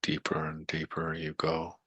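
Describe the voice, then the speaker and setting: A man leading a guided meditation in a soothing, unhurried manner with long pauses and gentle coaxing, his voice breathy and gravelly. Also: extremely low pitch, monotone, no room sound.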